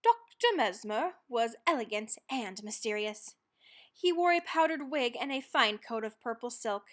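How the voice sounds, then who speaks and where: A woman reading a children's book aloud as narrator, expressively and dramatically, leaning on key words and pausing for effect. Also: on the high side, big pitch swings, a bit bright. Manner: dramatic, with stressed words and pauses. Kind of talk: expressive scripted storybook reading.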